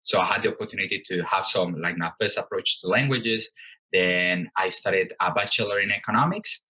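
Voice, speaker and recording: A very low-pitched man from Colombia, Spanish his first language, speaking with a bright, forceful edge. He answers interview questions in a thoughtful, halting way, pausing on fillers and starting phrases over. He sounds like a guest in his thirties. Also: rough recording. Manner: thoughtful, hesitant with many fillers and restarts. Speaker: guest, company co-founder.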